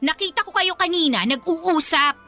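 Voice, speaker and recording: A spiteful young Filipino rich girl in a radio drama. Her high, sharp voice swings about as she sneers and taunts, with faint music underneath. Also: expressive, normal speed, exaggerated voice. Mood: accusing. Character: spiteful, snobbish young rich girlfriend, jealous rival.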